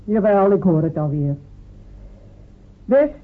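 An actress playing a sharp-tongued female village cobbler and loyal wife, who talks in a dry, teasing, stubborn way. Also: medium pitch, very animated, takes it slowly. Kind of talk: radio drama acting. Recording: phone-like old radio recording.

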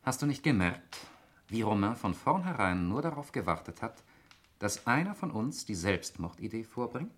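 Low-voiced, coolly probing man. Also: very animated, a bit bright. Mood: accusing. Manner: deliberate, insinuating. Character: cool, probing man, sardonic and accusing. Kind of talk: 1960s German radio play acting. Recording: faintly noisy 1960s radio recording.

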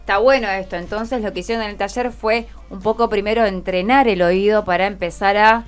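Woman, Argentine Rioplatense accent, radio interviewer, mid-pitched voice, encouraging, casual, short tag questions, studio mic, faint music underneath. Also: fairly even intonation, a little fast, clean tone. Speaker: radio host interviewing schoolchildren. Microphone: close studio microphone.

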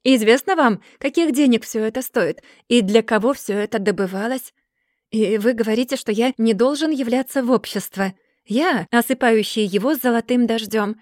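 A woman voicing a distracted, gloomy rich gentleman who mumbles and then rants in a storybook reading. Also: mid-range voice, moderate pace, no echo, clean studio sound, close to an everyday voice. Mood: furious ranting. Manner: absent-minded, mumbling, then bursting into furious ranting.

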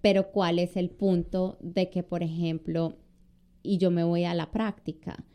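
A woman's voice: on the low side, little pitch movement, unhurried, warm tone. A middle-aged woman, an immigration lawyer co-hosting a podcast, chatting casually and hesitantly with frequent restarts and fillers. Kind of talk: casual conversational chat.

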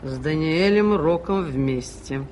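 An actress playing a brisk, sardonic, superstitious woman in a radio play, blunt and self-assured. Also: deep voice, expressive, darkish tone. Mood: ominous. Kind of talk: 1980s Soviet radio play acting. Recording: noisy 1980s radio drama recording.

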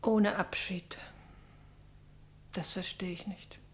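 A woman's voice: medium pitch, fairly even intonation, takes it slowly, lightly acted. An actress playing a middle-aged pastor's wife grieving for her daughter in a German radio drama, anguished, bitter and brooding. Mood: hushed bewilderment. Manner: anguished, brooding, partly whispered.

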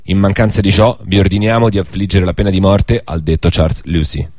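A man's voice: low-pitched, fairly even intonation, fairly quick, a little mellow, no echo, clean studio sound. A man playing a grave rebel leader who reads out a workers' declaration formally and solemnly in a radio drama. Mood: solemn, accusing.

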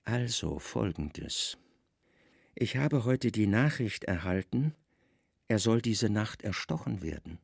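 A middle-aged Roman governor in a radio drama, stern and slyly plotting. His voice is creaky and rough, closely and dryly recorded. He speaks with measured authority and insinuation. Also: lowish voice, animated, no room sound, clean studio sound, exaggerated voice. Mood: slyly insinuating. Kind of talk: radio play dialogue.

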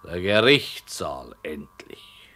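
Slow, deep-voiced radio-play author. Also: male voice, very animated, sharp tone, full character voice. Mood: sombre, resigned. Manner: deliberate, pausing between phrases. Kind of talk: radio drama acting.

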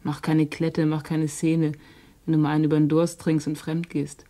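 A woman's voice: low-pitched, level tone, warm tone, lightly acted. An actress playing a hard-edged streetwise woman who talks bluntly and coaxingly in slang. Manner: blunt slangy coaxing, slow, drops to a whisper. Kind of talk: radio play acting.

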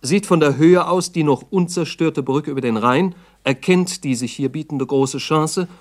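Slightly high-pitched male radio narrator, terse. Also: moderate pace. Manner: terse, factual present-tense reporting. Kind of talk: 1960s documentary radio drama narration.

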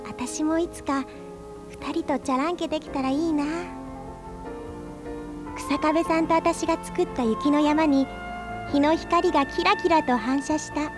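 An actress voicing a quiet, reflective young woman who narrates her own thoughts in a radio drama. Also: high voice, steady tone, unhurried, long pauses. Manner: soft-spoken, reflective inner narration. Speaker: narrator and lead character. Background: music.

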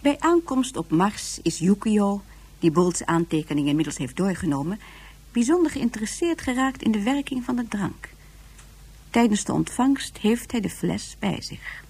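A woman's voice: medium pitch, lively intonation, moderate pace, darkish tone, breathy edge. Woman narrating a radio play.

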